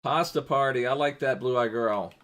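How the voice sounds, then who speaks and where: A man's voice: medium pitch, moderate pace, fairly bright tone. A man streaming a football video game live, chatting casually in a rambling way and thanking viewers as he plays.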